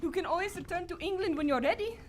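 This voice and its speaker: A woman playing a gentle, formal, devoted companion in live radio theater before an audience. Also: extremely high pitch, fast talker, distant mic. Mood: gentle, wistful. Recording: live stage recording, loud crowd and noise.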